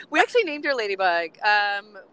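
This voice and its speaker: A woman co-hosting from a car, bubbly and rambling, her voice worn low from chanting. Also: very animated, normal speed, pushed and bright, low-quality recording. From US West Coast. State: voice getting lower from chanting. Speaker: co-host. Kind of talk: casual co-host chat, road trip update.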